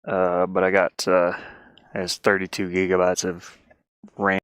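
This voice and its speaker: A man's voice: deep voice, completely flat delivery, a little slow, fairly bright tone, clear, resonant tone, dry recording. A man streaming casually, rambling and thinking aloud as he goes. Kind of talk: casual live stream chat. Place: inside a van he lives in.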